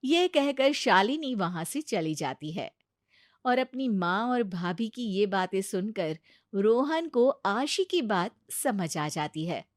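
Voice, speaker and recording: A woman doing scripted narration for a cartoon story, with an even storytelling delivery. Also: medium pitch, takes it slowly, no room sound, studio quality.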